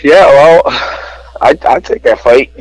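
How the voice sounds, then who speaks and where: A man's voice: mid-range voice, slow, poor audio. A man in his thirties chatting casually as a guest, in a hesitant, easygoing and self-effacing way. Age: 30s. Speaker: podcast guest, cage fighter. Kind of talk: casual chat.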